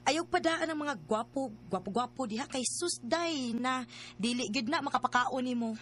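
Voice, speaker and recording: An actress with a Filipino Cebuano accent playing a streetwise, teasing, chatty veteran bar worker in an audio drama. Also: female voice, high voice, normal speed, fairly bright tone, a little hiss or hum. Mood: advising. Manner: chatty, teasing, interjection-heavy. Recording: light music under voice.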